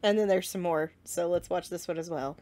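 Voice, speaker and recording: A woman hosting a casual reaction vlog, gushing and slangy in a dramatic, excited fan's way. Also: lowish voice, a little fast. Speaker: reaction video host.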